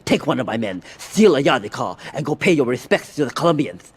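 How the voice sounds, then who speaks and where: An actor playing a proud, stern Japanese yakuza boss in a video game, speaking formally and haughtily. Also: male voice, medium pitch, big pitch swings, a little fast, slightly rough. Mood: stern, commanding. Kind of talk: video game cutscene acting.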